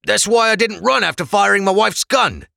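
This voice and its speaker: A man voicing a frightened, pleading merchant locked in a cell, pushing his voice high and strained. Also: normal speed, gravelly, pushed and bright, no echo, studio quality, heavily acted. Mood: insistent.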